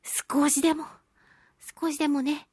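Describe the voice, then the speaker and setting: A woman with a fairly high voice hosts a casual solo radio talk. She is expressive and pokes fun at herself, stretching out her exclamations. The sound is dry, with very little room in it. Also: unhurried, no echo. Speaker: radio talk show host; voice actress and singing event MC.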